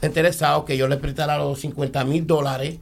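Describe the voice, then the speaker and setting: A Puerto Rican man with a gravelly, creaky voice that sits a bit high. He speaks as an interview guest, halting and repeating words, checking that his listeners understand him. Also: flat delivery. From born in New York, raised in the Bronx.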